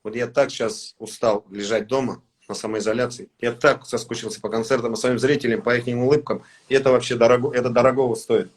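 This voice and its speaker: A man talks in a mid-range voice, neither high nor low. He speaks haltingly and gently, often stopping to find the right Russian word. It sounds like a relaxed, casual interview. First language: Tatar. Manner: halting, searching for words, soft-spoken. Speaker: singer, interview guest.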